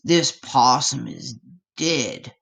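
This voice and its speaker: A woman voicing a ferocious, snarling swamp cat in a children's storybook, gruff and menacing. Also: rumbling low, lively intonation, a bit bright, no echo, voice pushed down, heavily acted. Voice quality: snarling, growly. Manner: menacing, gruff, drawn-out growls. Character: ferocious growling swamp cat, critter-eating beast.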